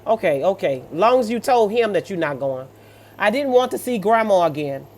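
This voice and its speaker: Woman, middle-aged, casual story commentary, sassy, motherly, colloquial. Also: medium pitch. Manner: motherly, sassy, colloquial, addressing listener as baby. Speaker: host of a story-reaction show. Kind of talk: casual reaction commentary, reading stories aloud.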